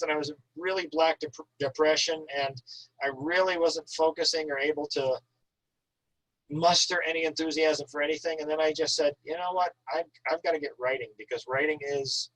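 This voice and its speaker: A man's voice: on the high side, fairly bright tone, no echo, rough recording. A man who works as an author, chatting casually and enthusiastically about writing in an effusive, emphatic, talkative way. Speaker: author, guest at an online reading.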